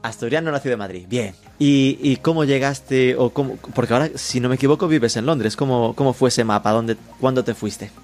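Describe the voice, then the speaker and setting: A man's voice: medium pitch, a little fast, hardly any pauses, darkish tone, faint music behind. A man hosting an interview, asking chatty, jokey questions. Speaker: podcast host and interviewer.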